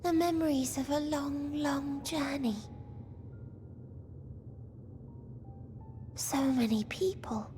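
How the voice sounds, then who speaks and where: High, slow, gentle otherworldly girl. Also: faint music behind. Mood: tender wonder. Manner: soft, breathy, solemn, poetic delivery. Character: gentle, sorrowful otherworldly girl, repentant and hopeful. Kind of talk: scripted video game cutscene acting.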